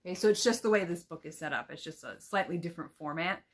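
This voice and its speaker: Low-pitched woman teacher explaining. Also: fast. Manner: explanatory, checks understanding with "right?". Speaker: reading teacher demonstrating a read-aloud. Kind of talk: instructional talk with storybook reading examples.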